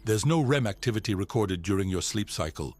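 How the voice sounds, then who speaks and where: An actor playing a measured, formal psychiatrist on a space expedition in an audio drama. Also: male voice, lowish voice. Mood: probing, concerned. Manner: calm, formal, clinical. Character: measured, clinical male psychiatrist on a Mars expedition. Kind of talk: audio drama log and session dialogue.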